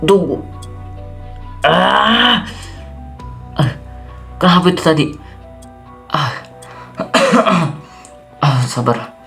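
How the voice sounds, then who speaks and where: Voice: mid-range voice, expressive, takes it slowly, pauses often, a bit bright, pitched above the performer's own voice. A man playing a weary, jumpy zombie apocalypse survivor in a Minecraft roleplay, muttering and exclaiming to himself in casual slang. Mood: startled, dazed. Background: background music.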